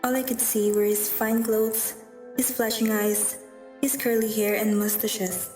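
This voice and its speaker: A woman's voice: fairly high pitch, flat delivery, a little slow, dark tone, natural voice. A woman playing a proud, haughty woman in an amateur radio drama, with a theatrical, emphatic delivery. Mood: wistful storytelling. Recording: distant, echoey room, faint music.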